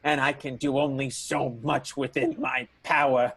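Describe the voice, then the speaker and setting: Husky, harried vaudeville showman, a man acting. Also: mid-range voice, little pitch movement, measured pace, a bit bright, heavily acted. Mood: placating. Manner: weary, repetitive, placating. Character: harried, self-pitying vaudeville showman named Keaton. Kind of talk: improvised 1920s radio soap opera acting.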